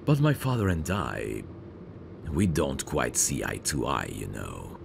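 Man playing very deep, gravelly suave mafia heir. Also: very animated, measured pace, background noise, exaggerated voice. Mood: candid, confiding. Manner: smooth-talking, courtly, flirtatious. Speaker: voice actor. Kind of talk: ASMR roleplay, intimate one-sided dialogue.

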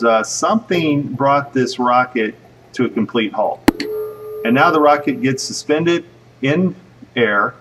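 A man giving casual, speculative commentary over footage in a conversational way, with a slightly husky voice. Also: medium pitch, a bit bright, far from the mic, slight background noise, low-quality recording. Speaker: video narrator.

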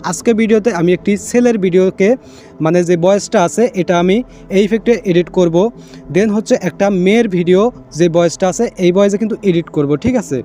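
High-pitched, quick tutorial guy. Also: dark tone. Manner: repetitive step-by-step explaining with English catchwords. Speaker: tech tutorial host. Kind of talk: casual screen-recorded how-to narration.

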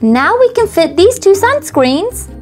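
A woman's voice: very high-pitched, very animated, a little slow, darkish tone, faint music behind. A woman narrating a toy unboxing in a cheerful, sing-song voice-over, naming each item as she stocks a miniature store.

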